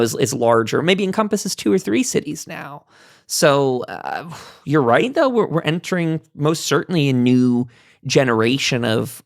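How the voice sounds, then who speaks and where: A podcast host with a creaky, mid-pitched voice moderates a casual gaming roundtable. The delivery rambles as the thoughts form, in a dry, close recording. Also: no room sound. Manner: rambling, thinking aloud. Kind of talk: casual roundtable discussion of video games.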